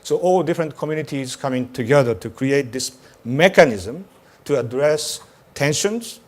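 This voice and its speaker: A man speaking as a panelist from a religious organization, measured and halting, with many pauses. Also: mid-range voice, expressive. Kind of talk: panel discussion.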